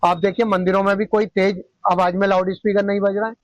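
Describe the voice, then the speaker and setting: A man's voice: high voice, little pitch movement, fast, dry recording. A man giving his opinion in an interview, insistent and repetitive. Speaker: street interviewee. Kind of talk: spontaneous opinion to camera. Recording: dull lo-fi dry field recording.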